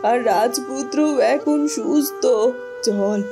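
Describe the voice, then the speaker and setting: A woman voicing a gentle, selfless mother turned into a bird in a cartoon, speaking in a tender, soothing way. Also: a little high, slow pace, over music. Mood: tender, reassuring. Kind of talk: dramatic cartoon storytelling.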